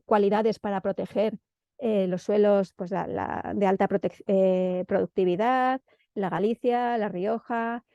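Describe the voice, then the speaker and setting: A woman's voice: mid-range voice, warm tone, no room sound. A woman with a central Spanish accent giving an informal presentation, often hesitating and repeating words as she searches for the next one. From Madrid, Spain. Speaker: presenter of an urban planning guide. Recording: dull, lo-fi dry audio.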